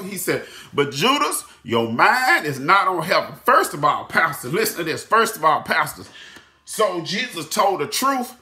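A middle-aged man preaching a sermon, repeating his phrases, shouting some lines and dropping to hushed asides, recorded on a phone at arm's length in a room at home. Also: fairly high pitch. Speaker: pastor. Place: room at home with ceiling lights. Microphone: phone camera at arm's length. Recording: echoey room.

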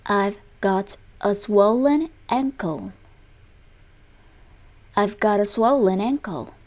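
Very slow, deliberate woman teacher. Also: medium pitch, muffled recording. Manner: deliberate, word-by-word enunciation. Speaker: language teacher. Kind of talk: scripted lesson narration, slow repeated example phrases.

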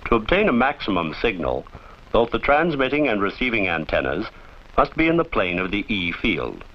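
A low male voice narrates an instructional film with lively, varied intonation. He speaks formally and with measured, didactic phrasing. The sound is that of an old training film, muffled and lacking detail.

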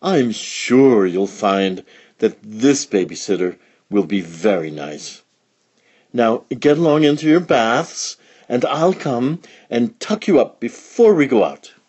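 A man voicing a fussy, vague mother pig in a storybook reading, with a high, breathy, bustling manner. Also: animated, slow, a little mellow. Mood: reassuring. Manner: bustling, flustered.

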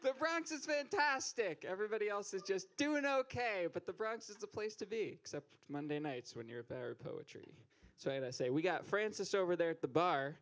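A man's voice: sits high, expressive, fairly quick, hardly any pauses. A young man hosting a poetry open mic on a small stage, teasing the crowd with stand-up style banter into a handheld mic. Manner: joking, teasing, rising to loud calls to the stage.

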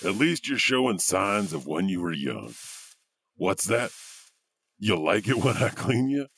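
A man's voice: on the low side, very animated, creaky, fairly bright tone, full character voice. A man playing an eccentric, awkward janitor who hosts a horror audio anthology with rambling asides. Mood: cooing. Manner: halting, rambling, chuckling asides. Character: eccentric, awkward, creepy male janitor storyteller. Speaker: host. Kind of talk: comic horror anthology hosting.

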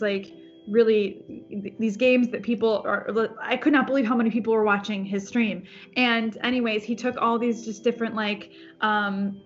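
A rambling woman in her thirties with a fairly high voice, talking on a laptop video call from her home. The audio is dull and lo-fi, with faint music behind her. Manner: rambling, restarts sentences, laughs easily. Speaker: farm sanctuary founder. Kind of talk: casual video call chat. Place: home room. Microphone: laptop webcam on video call.

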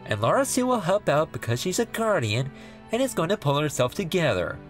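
Cocky hedgehog hero king, played by a woman: low, highly animated, protective, over loud music. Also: fairly quick. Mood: reassuring. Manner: breezy, confident. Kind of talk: animated comic dub acting.

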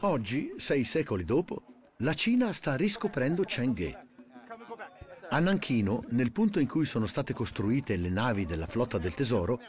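A man's voice: medium pitch, a little mellow. A man reading scripted documentary narration.

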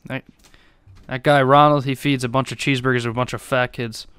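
A man's voice: mid-range voice, flat delivery, fairly quick, fairly bright tone, smooth, no echo. A man chatting casually while playing a role-play game, joking and trolling with plenty of slang and swearing. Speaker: gaming video creator playing online role-play.

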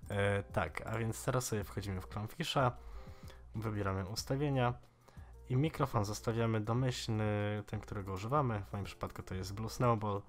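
A man in his twenties giving a casual, informal software tutorial in a slightly halting way. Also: lowish voice, steady tone, faint music behind. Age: 20s. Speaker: tech tutorial host.

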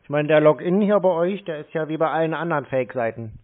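A man's voice: a little high, animated, fast talker. A blunt, mocking man in a confrontational phone call. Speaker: scam-baiting prank caller. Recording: tinny narrowband phone line.

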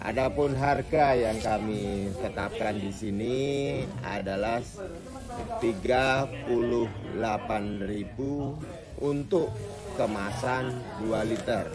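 Middle-aged man, company spokesman, slow formal outdoor statement, loud music behind. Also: fairly low pitch. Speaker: company representative. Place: outdoors in front of a village building. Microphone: handheld camera at a few steps. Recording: outdoor camera audio under loud music.

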